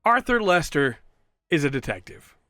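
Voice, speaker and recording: A man hosting a podcast, giving an enthusiastic, rambling review with a voice that is slightly going hoarse. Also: fairly high pitch, big pitch swings, moderate pace, sharp tone, soft background music. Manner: enthusiastic, rambling with fillers and restarts. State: slightly losing his voice. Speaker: podcast host reviewing an audio drama. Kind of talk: casual solo review.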